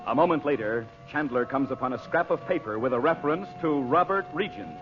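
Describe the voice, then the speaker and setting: A man's voice: medium pitch, expressive, fairly quick. A man narrating an old-time radio serial as a dramatic announcer. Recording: dull old radio recording, loud music.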